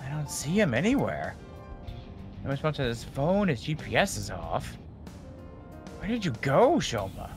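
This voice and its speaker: A man's voice: mid-range voice, wildly swooping pitch, unhurried, long pauses, over music. A man playing an anxious, earnest young male detective in an amateur video game fandub. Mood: worried.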